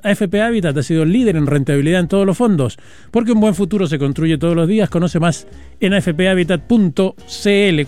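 A man hosting a radio interview, chiming in with quick teasing remarks. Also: fairly high pitch, darkish tone. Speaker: radio host.

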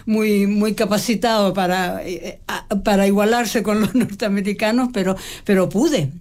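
An older woman, a stage actress, answering interview questions in a reflective, halting way with frequent restarts. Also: medium pitch, steady tone, darkish tone. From Mexico. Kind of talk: conversational interview.

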